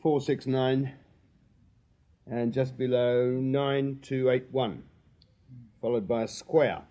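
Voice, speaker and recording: An actor playing a shrewd Victorian police sergeant in a radio drama, English, clipped and plain-spoken with a drily insolent deference. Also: male voice, medium pitch, steady tone, slow, dark tone, close to an everyday voice. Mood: dry, probing. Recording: slightly dull radio recording.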